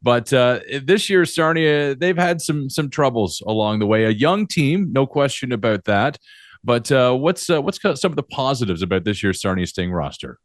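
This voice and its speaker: A man hosting a conversational sports interview, forming his questions haltingly and repeating words as he goes. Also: medium pitch, animated, no room sound, clean studio sound. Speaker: podcast host and interviewer.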